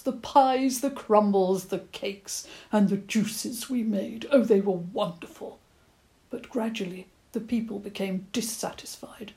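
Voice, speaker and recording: A woman voicing a gentle, courteous old male badger who stammers a little. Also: medium pitch, pitched above the performer's own voice, natural voice. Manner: stammering, courteous, slightly eccentric.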